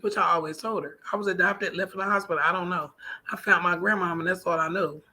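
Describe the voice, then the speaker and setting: Casting: American female vlogger, bright and punchy voice, emphatic colloquial delivery, conversational solo talk. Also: lowish voice, fairly even intonation, fast. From United States. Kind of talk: casual personal vlog monologue.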